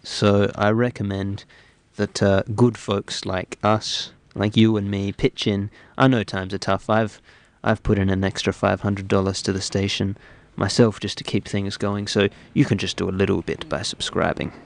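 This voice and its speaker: A man hosting comic radio banter, his voice hoarse from illness. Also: on the low side, level tone, moderate pace, hardly any pauses, no room sound. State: hoarse, ill. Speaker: radio show host. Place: radio studio.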